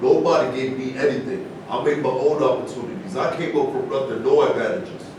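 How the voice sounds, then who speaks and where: A man's voice: brisk, lightly acted. A man in a staged reading, playing a proud self-made man who challenges others. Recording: echoey room from far off, rumbling noise.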